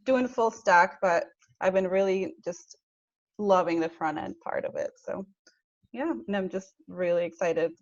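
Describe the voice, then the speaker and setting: A woman's voice: lowish voice, a little slow, dry recording. A woman in her thirties introducing herself on a video call, friendly, with a few shy laughs. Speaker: coding program participant. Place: indoor room. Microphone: earbuds on a video call. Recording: dull lo-fi video call audio.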